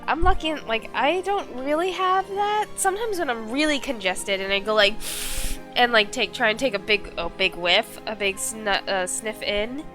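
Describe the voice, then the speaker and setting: A woman's voice: a little high, animated, normal speed, sharp tone. A woman with a cold talks loosely on a live stream, her voice thick and stuffy. She rambles along with plenty of ums and likes. Faint music plays behind her. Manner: chatty, rambling, many fillers. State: congested, slight cold. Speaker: streamer playing a visual novel. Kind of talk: casual live-stream chat.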